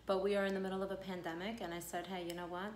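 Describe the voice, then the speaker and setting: A woman's voice: medium pitch, level tone, rapid-fire, slight background noise. A woman, talking to camera about her working life in a chatty, rambling way full of fillers. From born in Argentina, raised on Long Island, New York. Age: 40s. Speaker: real estate broker. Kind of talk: casual personal introduction to camera. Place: home living room, indoors. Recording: echoey room.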